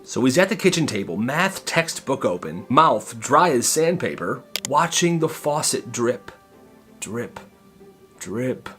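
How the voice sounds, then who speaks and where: A young American man telling stories casually and comically in slangy, animated speech for social media. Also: mid-range voice, takes it slowly, faint music behind. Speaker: short-video storyteller.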